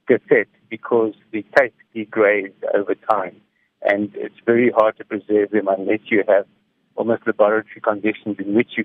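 A middle-aged man gives measured, explanatory answers in a reflective radio interview over the phone. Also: on the low side, dry recording. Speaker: interviewed music technology commentator. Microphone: phone call. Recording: tinny, narrow phone line.

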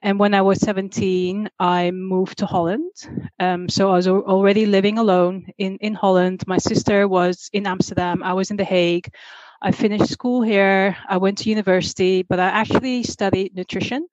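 A woman's voice: mid-range voice, fairly even intonation, moderate pace, darkish tone, no echo, highs cut off. A woman in her forties, raised in Singapore, talking as a podcast guest over a remote call, chatty and full of ums and restarts. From grew up in Singapore. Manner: chatty, many ums and repeated starts. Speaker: guest, communications manager. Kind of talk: podcast interview.

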